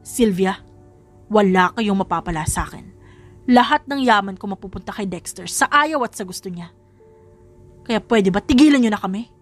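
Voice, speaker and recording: A woman's voice: medium pitch, animated, normal speed, pauses often, lightly acted. Woman as elderly rich grandfather, stern yet loving, romance audiobook drama, music underneath. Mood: annoyed, firm. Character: stern but loving wealthy old grandfather.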